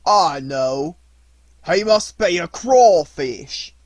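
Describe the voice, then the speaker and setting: A man's voice: fairly high pitch, animated, extremely slow, no echo, pitched above the performer's own voice, exaggerated voice. A man voicing a menacing green fisherman monster, emphatic, gloating and mock-courteous. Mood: confident.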